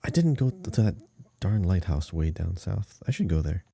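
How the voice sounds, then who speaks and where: A man's voice: low-pitched, very animated, moderate pace, vocal fry, dark tone, breathy edge, dry recording. A man co-hosting a podcast, chatting casually about a video game in an enthusiastic, emphatic way. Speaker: podcast co-host.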